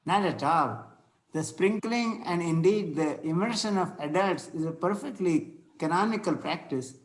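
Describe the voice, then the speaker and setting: A man playing a courteous, solemn Victorian clergyman in a play read-through, speaking formally and a little haltingly. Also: high-pitched, lively intonation, rough recording. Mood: courteous, earnest. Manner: formal, preachy, halting as he reads. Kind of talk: amateur play table read.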